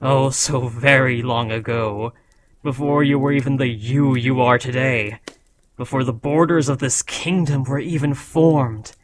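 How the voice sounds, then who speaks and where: A man's voice: mid-range voice, steady tone, a little slow, crowd noise, natural voice. A man voicing an ancient, proud dragon who scolds a beloved rider in a solemn, formal, dramatic fantasy roleplay. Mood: solemn, tender.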